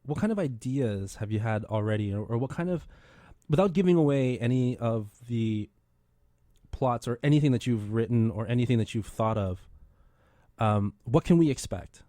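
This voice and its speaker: A man's voice: medium pitch, lively intonation, normal speed, dark tone, dry recording, clean studio sound. A man hosting a casual radio interview in an enthusiastic, encouraging way. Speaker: radio show host.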